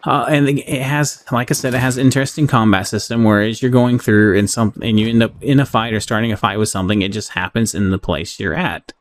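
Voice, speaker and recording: A man giving casual, unscripted game review commentary in a halting, conversational way. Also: medium pitch, fairly even intonation. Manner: halting, conversational, often checks in with "right?". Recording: clearly audible background noise.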